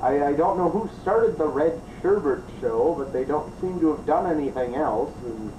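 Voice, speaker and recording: A man's voice: fairly high pitch, animated, normal speed, mellow. A man hosting a review show, giving improvised commentary. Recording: roomy, distant mic, clearly noisy.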